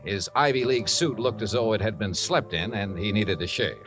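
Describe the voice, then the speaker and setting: A man's voice: lowish voice, fairly quick, natural voice. An American man playing a wry, breezy, wisecracking insurance investigator in a 1950s radio drama, with punchy delivery. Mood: brisk, dry. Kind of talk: 1950s radio detective acting and narration. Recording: 1950s radio recording, music behind.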